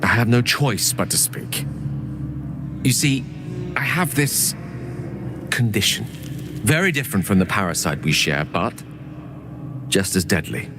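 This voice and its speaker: Expressive, low-voiced genteel wizard. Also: male voice, unhurried, long pauses. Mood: earnest, hesitant. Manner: formal, wordy, courteous, urgent. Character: genteel, earnest male human wizard, eloquent and proud. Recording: music under the voice.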